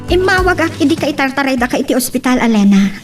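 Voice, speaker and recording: Woman acting a highish-voiced, concerned friend. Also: expressive, fast, music in the background. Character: concerned, supportive female friend visiting hospital. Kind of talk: Ilocano radio-style melodrama acting.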